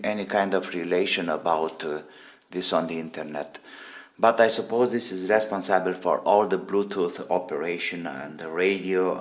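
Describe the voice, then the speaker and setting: A man hosting a gadget teardown, giving a casual, unscripted demonstration and thinking aloud in halting, short phrases. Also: low-pitched. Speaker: host of a gadget teardown video.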